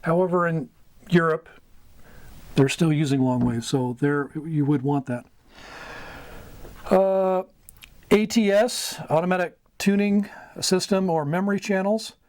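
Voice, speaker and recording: Higher-voiced American man explaining, dry sound. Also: fairly even intonation, measured pace, pauses often, a little mellow, no room sound. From United States. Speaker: amateur radio mentor, video host. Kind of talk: informal instructional explanation at a whiteboard. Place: small wood-panelled room indoors.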